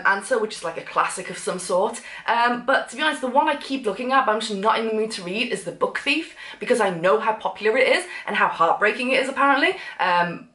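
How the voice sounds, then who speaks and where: Woman, thirties, casual vlog chat, quick talker, slightly congested, roomy echoey sound. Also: mid-range voice. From England. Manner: chatty, animated, gestures while talking. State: blocked up with a cough. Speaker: vlogger. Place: sunroom with sofa, indoors.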